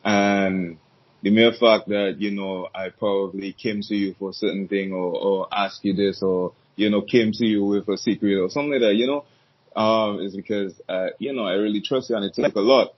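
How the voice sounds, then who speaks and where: Husky low-voiced man, easygoing podcast guest. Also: fairly even intonation, normal speed, no room sound. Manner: easygoing, rambling with restarts and fillers. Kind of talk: casual podcast chat. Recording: dull lo-fi sound.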